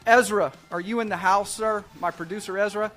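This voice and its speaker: A man's voice: high voice, fairly even intonation, brisk, fairly bright tone, soft background music. A man hosting a live real estate show, chatting casually in an upbeat, salesman-like way and often checking in with "right?" tags. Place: small studio set at a desk.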